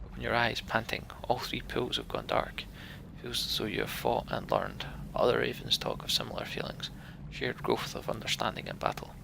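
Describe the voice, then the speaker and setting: A man's voice: medium pitch, sing-song, moderate pace, creaky, hardly any pauses, a bit bright, slight background noise, low-quality recording. A man hosting a voiced game playthrough, reading the story text with wry joking asides. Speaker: let's play host.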